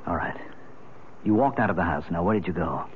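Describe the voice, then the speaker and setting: A man acting in a 1970s radio drama as a patient American police officer, pressing a friend with measured, pleading questions. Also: sits low, expressive. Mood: probing. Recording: muffled radio sound with loud background noise.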